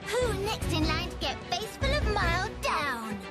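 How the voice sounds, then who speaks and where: A woman's voice: very high-pitched, sing-song, a little slow, over loud music, heavily acted. A woman voicing a small, cute, eager childlike creature in a video game, speaking in broken grammar. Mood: battle bravado. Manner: broken grammar, third-person speech. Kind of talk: video game cutscene acting.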